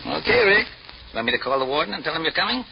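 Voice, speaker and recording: High-pitched, animated nagging police lieutenant. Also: male voice, fast talker, vocal fry. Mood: exasperated, fond. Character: exasperated, fond police lieutenant, nagging old friend. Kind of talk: 1940s radio drama acting. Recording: noisy, muffled old radio recording.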